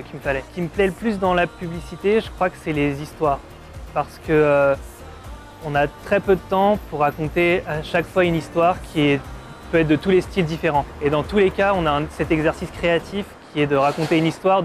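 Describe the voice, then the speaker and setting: A man in his late twenties from Paris speaks in a casual interview style. His voice sits a little high for a man. He talks reflectively, often searching for the next word, with faint music underneath. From Paris, France. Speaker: advertising art director being interviewed. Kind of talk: casual on-camera testimonial.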